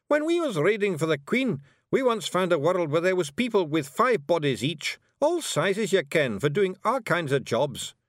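A man's voice: sits high, big pitch swings, normal speed, hardly any pauses, dry recording, studio quality, voice pushed up. A man voicing a boisterous, cheerful little fairy clansman with a Scottish accent in a storybook reading. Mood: boastful. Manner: exclamatory, brash.

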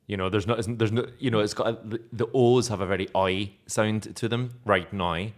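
A man's voice: fairly low pitch, fairly even intonation, moderate pace. A young Scottish man, an actor being interviewed, chatting casually and self-deprecatingly, laughing often. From Scotland.

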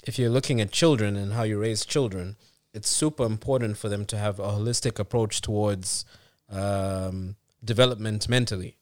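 A man from Africa with a lowish voice talks through his point in a casual podcast chat. He restarts his sentences and drops in "you know" often. The sound is close and dry, with no room around it. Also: normal speed, few pauses, no echo. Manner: explains at length with frequent restarts and "you know". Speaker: podcast co-host.

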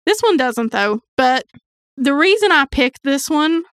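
A woman's voice: high-pitched, lively intonation, unhurried, fairly bright tone, no room sound, studio quality. A woman co-hosting a podcast, chatting casually and informally with wry banter. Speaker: podcast co-host. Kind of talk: casual true crime podcast chat.

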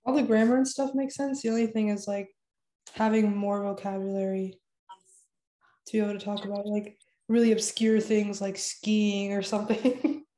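A woman's voice: medium pitch, fairly even intonation, normal speed, dark tone, echoey room. A young woman whose first language is Spanish, chatting casually and laughing often, with lots of "like" fillers. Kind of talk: casual chat.

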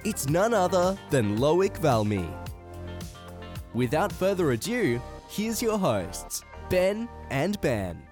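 A man's voice: on the high side, big pitch swings, slow, darkish tone, soft background music. A man reading a scripted podcast introduction in an upbeat, showy way. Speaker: show announcer.

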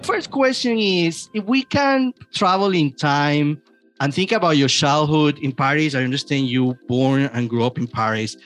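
A man hosting his podcast from his basement, talking in a lively, chatty way with small restarts. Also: a little high, normal speed, soft background music. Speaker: podcast host. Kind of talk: enthusiastic podcast introduction and interview. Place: home basement.

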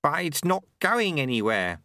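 A man doing a calm ancient monk. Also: on the high side, unhurried, no echo, studio quality, pitched above the performer's own voice, full character voice. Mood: calm polite. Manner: polite, calm.

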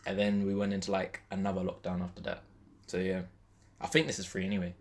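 Lowish-voiced man, casual podcast guest. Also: monotone, fast talker. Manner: informal, slangy, frequent "like" as filler. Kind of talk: casual chat.

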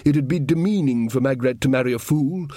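A man's voice: mid-range voice, a little fast, mellow, no room sound, clean studio sound, close to an everyday voice. A man voicing a nervous, earnest king who speaks hesitantly and properly, in a storybook reading. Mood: nervous, protesting. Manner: hesitant, slightly stammering.

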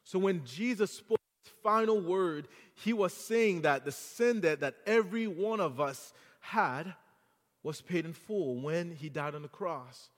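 A man from Haiti who speaks English with a French accent preaches in an earnest, building way. His voice sits fairly high for a man. The church sanctuary leaves a long, lingering reverb behind his words. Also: measured pace. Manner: earnest, rising to fervent exhortation. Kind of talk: sermon.

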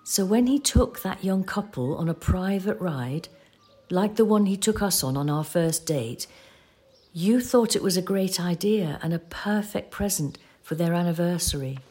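A low-voiced woman as a patient companion in an audio drama. Her voice is slightly husky, and she explains things gently, with a measured sorrow. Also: animated. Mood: gently prompting. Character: patient, sorrowful female companion of a forgetful old man. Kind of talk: audio play acting.

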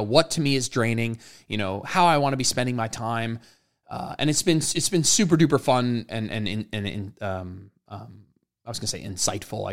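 A young man hosting his own fitness podcast, talking loosely and rambling, with many fillers and restarts. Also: medium pitch. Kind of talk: casual solo podcast monologue.

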